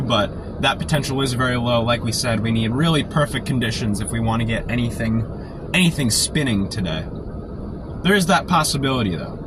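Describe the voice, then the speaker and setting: A man with a sore throat from a cold, keeping his voice quiet and low while chatting casually on a live stream from inside a parked car. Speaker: storm chaser live-streaming. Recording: noisy car interior. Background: car and outdoor traffic noise.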